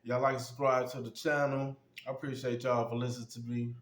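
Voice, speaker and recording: A man's voice: medium pitch, level tone, brisk. A man hosting his fan channel, talking loosely and slangily with plenty of swearing, sniffly from a cold. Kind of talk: casual sports commentary. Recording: roomy sound with faint music.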